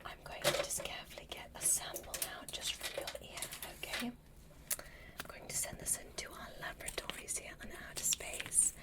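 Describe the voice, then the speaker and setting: A woman voicing a polite, curious alien medical examiner in an ASMR roleplay, whispering gentle, formal step-by-step instructions. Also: close to an everyday voice, poor audio. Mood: soothing, curious.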